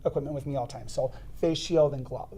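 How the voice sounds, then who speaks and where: Loud, emphatic man teaching CPR. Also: medium pitch, fast, slightly rough. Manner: frequent restarts while explaining. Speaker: CPR instructor. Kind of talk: instructional explanation and demonstration. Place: podcast studio.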